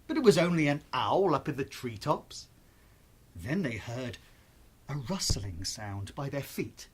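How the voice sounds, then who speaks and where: A storyteller narrating a children's bedtime tale in an expressive, suspenseful, deliberate way. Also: medium pitch, husky.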